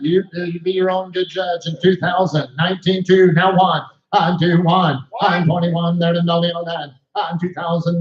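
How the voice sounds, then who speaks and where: A man working as a livestock auctioneer, calling bids in a rapid rhythmic chant. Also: high voice, roomy sound, highs cut off. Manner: rhythmic repetitive bid calling. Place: cattle sale ring.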